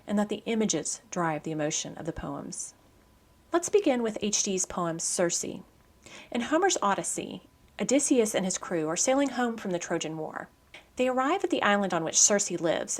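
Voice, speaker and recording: A woman hosting a poetry program, speaking in a measured, articulate scripted style for radio narration and poem reading. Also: medium pitch.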